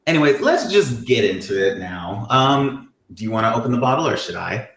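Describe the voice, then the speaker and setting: A man's voice: mid-range voice, sing-song, fairly quick, a bit bright. A man co-hosting a casual podcast, talking in a playfully sarcastic, mock-bitter way. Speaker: podcast co-host. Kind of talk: casual chat. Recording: echoey room, faint ambient noise.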